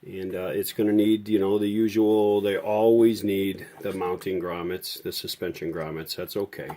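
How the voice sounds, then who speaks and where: A man's voice: deep voice, fairly even intonation, normal speed, dark tone. A man talking casually and off the cuff as he gives a hands-on repair walkthrough, with folksy, rambling explanations. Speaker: radio repairer presenting a restoration video.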